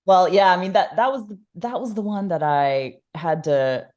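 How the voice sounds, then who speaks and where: Low-voiced woman, thoughtful and halting. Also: a little mellow. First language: Polish. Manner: thoughtful, many fillers and restarts, laughs often. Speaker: podcast guest, researcher. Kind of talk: conversational interview.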